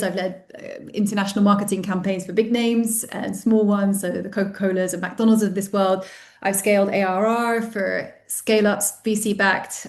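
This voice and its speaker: British marketing lady on a webinar intro, middle-aged, ums a lot, medium pitch. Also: a little mellow. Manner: fluent, uses many ums. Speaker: marketing director and guest expert.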